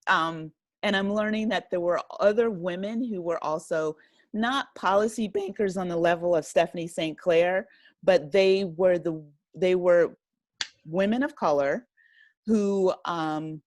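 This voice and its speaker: A woman with an American accent talks in a fairly low voice with very little room sound. She is an interview guest speaking conversationally. Her delivery halts and rephrases as she goes, peppered with "you know". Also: measured pace, no room sound, studio quality. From United States, a rural town. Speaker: interview guest, writer and director of an audio drama.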